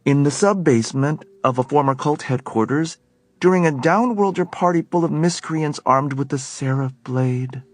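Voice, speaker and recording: A man voicing a sharp, suspicious young woman who speaks in a cool, probing way. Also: on the high side, moderate pace, no room sound, pitched above the performer's own voice, close to an everyday voice.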